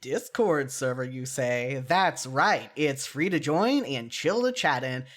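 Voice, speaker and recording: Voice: mid-range voice, animated, slow. Podcast host, mid-range voice, solo commentary; earnest, opinionated, casually self-deprecating.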